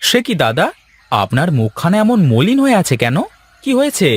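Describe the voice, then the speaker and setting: A man's voice: high-pitched, huge pitch swings, moderate pace, dry recording, heavily acted. Man acting a humble, wily young village farmer. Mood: feigned concern. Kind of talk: Bengali cartoon voice acting.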